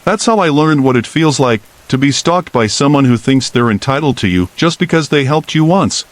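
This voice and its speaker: A man narrating first-person horror stories in a calm scripted reading, with steady rain behind him. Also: mid-range voice, moderate pace.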